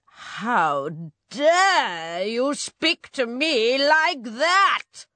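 A woman's voice: high-pitched, big pitch swings, very slow, sharp tone, no echo, pitched above the performer's own voice, heavily acted. A woman voicing a spiteful, snarling old witch in a children's storybook. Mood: menacing, angry. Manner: snarling, chanting spells.

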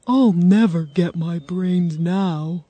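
A woman's voice: on the low side, fairly even intonation, slow, mellow, no room sound. A woman voicing a simple, mournful scarecrow in a storybook, with a plodding, muffled delivery. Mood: sad. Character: simple, mournful male scarecrow wanting brains.

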